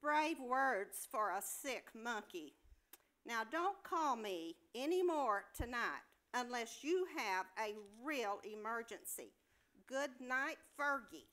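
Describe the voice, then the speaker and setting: A woman acts out a scornful night nurse in a comedy play reading. The voice is high and piercing, and the lines come slowly, heavy with dismissive sarcasm. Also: animated, a bit bright. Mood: sarcastic, impatient.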